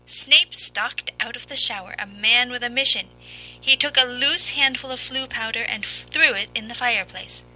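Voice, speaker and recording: A woman's voice: fairly high pitch, normal speed, pushed and bright, faint music behind, poor audio. A woman reading a scripted fan fiction story as its narrator.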